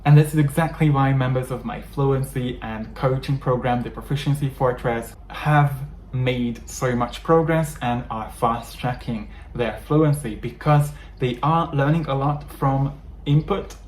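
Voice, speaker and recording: A man's voice: medium pitch, unhurried, a little mellow. A man with a Hungarian accent, a native Hungarian speaker, explaining English learning to viewers in an earnest coaching style. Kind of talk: talking-head teaching video. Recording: echoey room, faint background noise.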